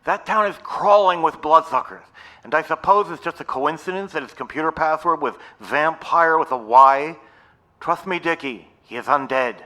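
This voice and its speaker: An actor playing an earnest, conspiratorial young vampire-hunting sidekick in an audio drama. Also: male voice, on the high side, moderate pace, bright, forceful tone. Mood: insistent.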